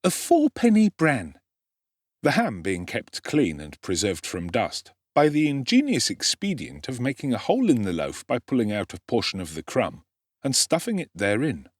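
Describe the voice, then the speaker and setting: A man with an English accent giving a measured, articulate, expressive narration of a literary story. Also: fairly low pitch, slightly rough, dry recording, clean studio sound. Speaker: audiobook narrator, actor. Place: dry, close studio-like recording.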